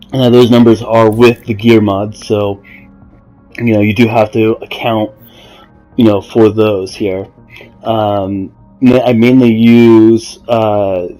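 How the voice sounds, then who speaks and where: A man giving a casual, explanatory game walkthrough in a measured, somewhat halting way. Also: fairly low pitch, dark tone, poor audio. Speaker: gamer presenting a character build guide. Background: music.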